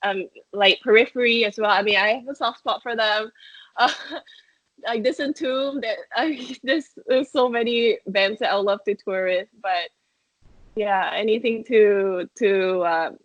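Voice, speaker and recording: A woman's voice: medium pitch, a little slow, no room sound. A young woman from Malaysia, a metal band singer, answering interview questions in a chatty way full of fillers and restarts. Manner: chatty, many fillers and restarts, laughs often. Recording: dull lo-fi sound, dry.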